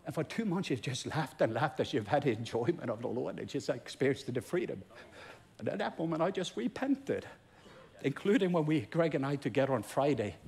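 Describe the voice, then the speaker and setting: A man's voice: medium pitch, very animated, a little fast, vocal fry, a little mellow. A Norwegian man, a pastor speaking English as his second language, tells a testimony in a sermon with emphatic, repeating build-ups. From Norway.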